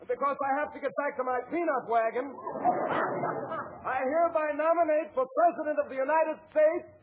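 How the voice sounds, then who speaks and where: High-pitched pompous convention orator. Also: male voice, a little fast. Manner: declamatory, pompous speechmaking. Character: pompous long-winded convention orator nominating a candidate. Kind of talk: 1940s radio comedy oratory. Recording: tinny, noisy 1940 radio broadcast.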